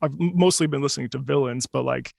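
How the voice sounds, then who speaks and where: A man's voice: medium pitch, brisk, vocal fry, a little mellow, slightly rough, no echo, studio quality. A man hosting a casual chat, talking enthusiastically in a rambling way. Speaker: host.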